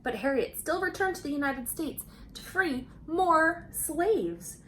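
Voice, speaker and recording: A woman reading a children's storybook aloud expressively, pausing for conversational asides and questions to young listeners. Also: sits high, lively intonation, fairly bright tone, slight background noise.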